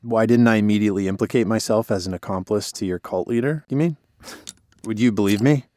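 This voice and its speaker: An actor playing a broken-down radio DJ, weary, sardonic and self-deprecating, in conversational audio drama dialogue. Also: male voice, on the low side, fairly quick, a little mellow, natural voice. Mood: wry, teasing.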